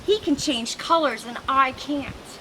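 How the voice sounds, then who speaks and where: A woman reading a children's story aloud in an animated, expressive way as the lizard narrator. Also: sits high, unhurried, background noise.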